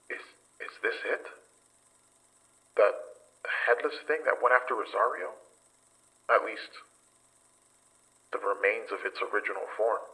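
Weary investigator, horror podcast drama. Deepish, husky, halting delivery, haunted by what he recounts. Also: sharp tone, heavily acted, rough recording. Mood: uneasy. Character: weary male investigator recounting a dangerous excursion. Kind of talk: horror audio drama acting.

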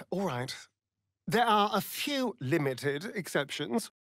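An actor voicing a vain, sardonic vampire elf rogue in a video game, with an arch, drawling, theatrical delivery. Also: male voice, high voice, sing-song, slow pace, fairly bright tone, no echo, studio quality, heavily acted. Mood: teasing, sly. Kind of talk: video game character voice lines.

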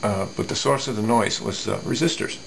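A middle-aged man giving a casual hands-on technical explanation, thinking aloud haltingly with folksy asides. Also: fairly low pitch, creaky, fairly bright tone, slight background noise. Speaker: radio repair hobbyist presenting. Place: indoor electronics workbench.

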